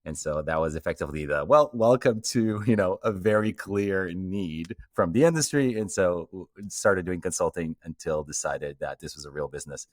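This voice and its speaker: A man's voice: low voice, moderate pace, hardly any pauses, no room sound. A middle-aged man from Portugal, a guest in an interview, telling his story fluently with some fillers. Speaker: guest, company co-founder. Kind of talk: conversational interview answers.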